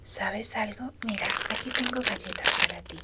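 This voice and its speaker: A woman performing a whispered ASMR roleplay as a gentle, caring faun, breathy and tender as she speaks to the listener. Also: mid-range voice, very noisy. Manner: slow, soothing, addressing the listener tenderly. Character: gentle, caring female faun, a magical companion.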